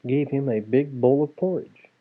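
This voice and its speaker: A man's voice: medium pitch, moderate pace. A man reading a children's fairy tale aloud as a storyteller, acting it out as he goes. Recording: tinny, phone-like narrow sound.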